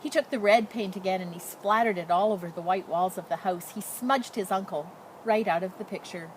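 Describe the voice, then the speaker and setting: A woman reading a children's picture book aloud as a storyteller in expressive narration. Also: medium pitch, brisk, rough recording. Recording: noticeable background noise.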